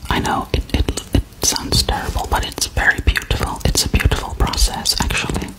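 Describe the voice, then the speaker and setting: A woman voicing a gentle, soft-spoken female alien girl in an ASMR roleplay, whispering slowly and reassuringly right into a close microphone in a small room. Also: lightly acted, rough recording. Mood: soothing whisper. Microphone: studio microphone held very close.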